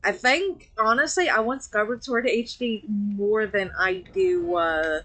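A woman's voice: mid-range voice, measured pace, fairly bright tone. A woman streaming a video game, chatting casually with friends, laughing often and trailing off with fillers. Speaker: VTuber streamer. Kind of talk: casual gameplay commentary.

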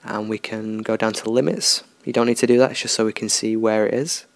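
A man talking through a software tutorial in a relaxed, unscripted way, thinking aloud as he works. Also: lowish voice, brisk, gravelly, no room sound. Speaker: tutorial host. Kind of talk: screen-recorded software tutorial.

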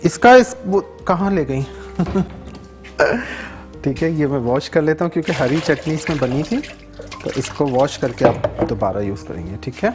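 A man's voice: fairly high pitch, normal speed, music in the background. Sindhi-accented man hosting a TV cooking show. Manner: chatty, switches between languages, checks in with "theek hai?" often. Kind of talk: live TV cooking demonstration with phone-in callers. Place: TV studio kitchen set.

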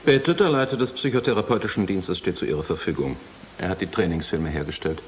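Man playing a low-voiced, businesslike official. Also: quick pace, slight background noise, lightly acted. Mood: matter-of-fact. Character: businesslike male brain-bank official explaining procedures. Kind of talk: German radio drama acting.

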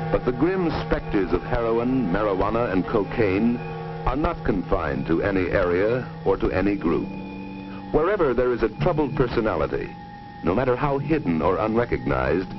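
A man reading a grave, authoritative scripted narration in the style of a 1950s educational film. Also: lowish voice. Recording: dull old 1950s film soundtrack, music.

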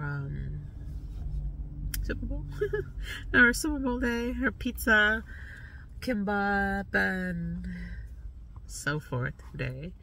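Stuffy woman rambling to her phone in a parked car, bright gravelly voice, bit far from the mic. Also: fairly low pitch, slight background noise. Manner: chatty, rambling, soft-spoken with fillers. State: stuffy nose. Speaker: vlogger. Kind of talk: casual chat to camera. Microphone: phone camera on dashboard.

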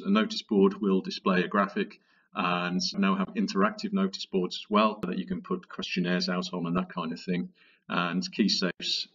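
Guy explaining software step by step, informal, bit muffled and off the mic. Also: sits low, husky, recorded from a distance. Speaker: product tutorial presenter. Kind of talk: software walkthrough narration, conversational.

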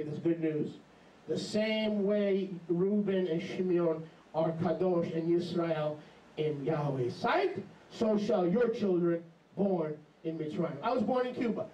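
A middle-aged man preaching an animated, emphatic sermon into a handheld mic in a small congregation hall. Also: sits high, muffled recording, a little hiss or hum. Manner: animated, emphatic, jumps into mocking voices. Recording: echoey hall, camera far off.

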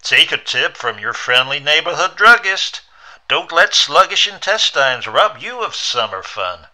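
A man's voice: fairly high pitch, very animated, measured pace, bright, forceful tone, pitched above the performer's own voice, full character voice. A man voicing a hearty, pushy radio advertising announcer in a story reading, delivering punchy, salesmanlike slogans. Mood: hearty selling.

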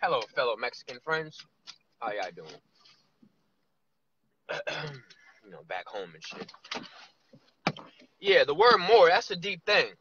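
A man in his thirties vlogging from inside a car, musing loosely with drawn-out asides. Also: fairly high pitch, very animated, pushed and bright, slight background noise. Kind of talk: casual rambling monologue. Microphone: phone camera on dashboard. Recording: lo-fi phone audio.

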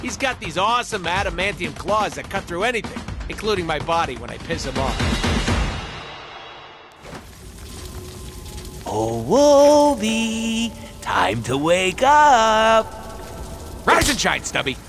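A man's voice: high voice, big pitch swings, measured pace, over music, heavily acted. A man playing a manic, childish, cocky mercenary antihero in a video game, with a hyperactive, mocking, theatrical delivery. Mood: teasing.

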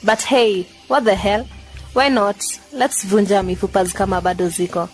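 A woman's voice: mid-range voice, steady tone, moderate pace, music in the background, natural voice. A woman playing a teasing, loving young sweetheart in a radio drama, with a Kenyan accent.

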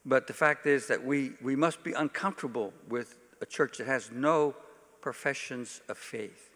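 A man's voice: medium pitch, moderate pace, a bit bright. An older man, a pastor, giving an informal church teaching talk to an audience with folksy, dry-humoured asides. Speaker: pastor leading a workshop. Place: large hall, speaking to a conference audience. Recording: reverberant hall, long echo.

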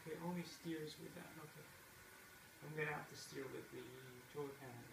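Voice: mid-range voice, fairly even intonation, moderate pace, gravelly. A middle-aged parent chatting casually and coaching a child through a video game in a patient, encouraging way. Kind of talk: casual chat while watching gameplay. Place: indoor room at home with a TV. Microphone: distant camera mic. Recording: noisy, echoey room, far from mic.

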